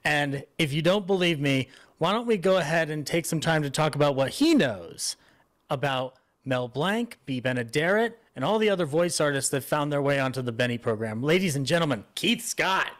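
A man's voice: a little high, lively intonation, moderate pace, a bit bright, dry recording. A man in his thirties hosting an online event, talking in an effusive, rambling way into a close desk microphone. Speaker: podcast host introducing and interviewing a guest. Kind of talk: enthusiastic live event introduction and chat. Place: indoor room at home on a video call. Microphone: close desk microphone with headset.

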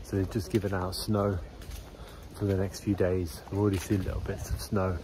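A man's voice: low-pitched, little pitch movement, normal speed, noisy background. A man giving cheerful daily weather updates outdoors in a city for his travel vlog. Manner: upbeat, chatty weather updates. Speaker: travel vlogger. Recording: background music over outdoor ambience.

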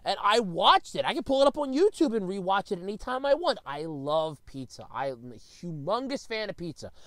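A man's voice: sits high, very animated, moderate pace, a bit bright, no echo. A man, slightly stuffy with a cold, delivering an exasperated, emphatic, sweary comedic solo rant as host of his own series.

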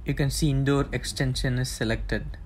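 A man's voice: medium pitch, fairly even intonation, slight background noise. Plain-spoken man walking through a setup. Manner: plain, halting, with fillers. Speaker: tech tutorial presenter. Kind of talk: casual step-by-step demonstration.

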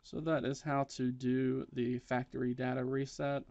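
A man's voice: medium pitch, little pitch movement, moderate pace, a little mellow, dry recording, lo-fi sound. A man presenting a step-by-step tech tutorial in a plain, matter-of-fact instructional way.

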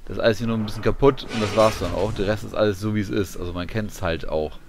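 A man's voice: lowish voice, fairly even intonation, fast talker. A man giving casual commentary as he plays a video game. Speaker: gamer, video host. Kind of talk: casual let's play commentary. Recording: clear game noise behind voice. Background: shooter game sound effects and ambience.

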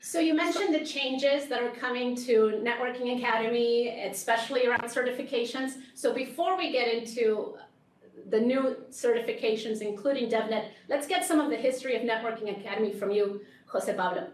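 A woman's voice: a little high, a little fast. A middle-aged woman hosting a panel chat from a meeting room over a video call. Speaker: host, developer community manager. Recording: echoey room, distant microphone.

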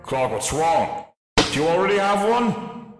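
A man's voice: a little high, big pitch swings, a little slow, music in the background. A man voicing a big, cheerful, oblivious superhero in a comic-book dub, with a grandiose, dramatic delivery. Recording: echoey with long reverb.

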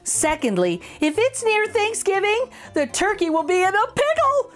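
Bright, high-voiced woman, middle-aged, animated picture-book reader, soft music behind. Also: moderate pace. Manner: sing-song, exaggerated storytelling voice. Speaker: children's book reader, channel host. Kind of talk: expressive picture-book read-aloud.

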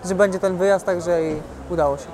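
A man's voice: sits high, steady tone, brisk, darkish tone, rough recording. A young man, a boxer, answering interview questions in a plain, matter-of-fact way. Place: outdoors by a glass building. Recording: faint outdoor noise.

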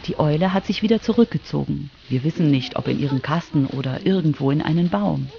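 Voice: medium pitch, fast talker, gravelly, muffled recording, slight background noise. A narrator telling a scripted radio feature for children. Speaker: narrator, reporter.

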